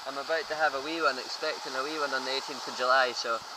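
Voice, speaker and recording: A man's voice: mid-range voice, fairly even intonation, fast. A young Scottish man in his twenties, chatting casually and easily. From Scotland. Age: 20s. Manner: easygoing, chatty. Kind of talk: casual chat. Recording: distant mic, noticeable background noise.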